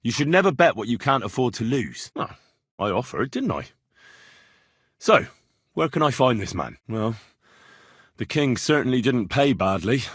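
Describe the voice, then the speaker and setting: A man's voice: mid-range voice, very animated, normal speed, long pauses, breathy edge, no room sound, clean studio sound. A man acting as a plain-spoken, righteous young medieval man in video game dialogue, direct and earnest in manner. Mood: questioning, incredulous. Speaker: voice actor.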